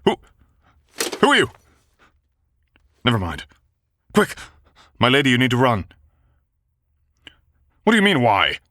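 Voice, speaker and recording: A gallant wounded knight. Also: male voice, medium pitch, huge pitch swings, unhurried, long pauses, pushed and bright, a little hiss or hum, heavily acted. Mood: urgent. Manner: old-fashioned courtly speech. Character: wounded young male knight, gallant and protective. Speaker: voice actor. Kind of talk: boyfriend ASMR audio drama.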